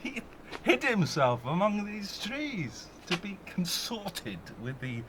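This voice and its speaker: A man's voice: high-pitched, sing-song, takes it slowly, noisy background, exaggerated voice. An actor playing an earnest young nobleman in a Shakespearean audio play, with declamatory delivery. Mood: wry resignation. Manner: declamatory, calling out. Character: Benvolio, earnest young cousin searching for friend. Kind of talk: Shakespearean radio play acting.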